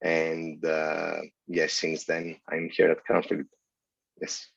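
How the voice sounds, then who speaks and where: A man in his forties, originally from Greece, answering interview questions over a video call in a measured way, with many fillers. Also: extremely low pitch, completely flat delivery, creaky, no room sound. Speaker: guest, senior lecturer. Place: office, indoors. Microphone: headset on a video call. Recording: dull, dry video call audio.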